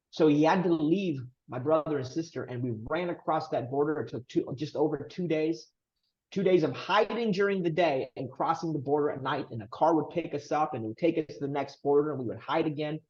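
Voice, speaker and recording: A man's voice: fairly high pitch, a little mellow, muffled recording. A man in his thirties from Romania, a podcast guest earnestly telling his family story with emphatic delivery. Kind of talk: casual interview storytelling.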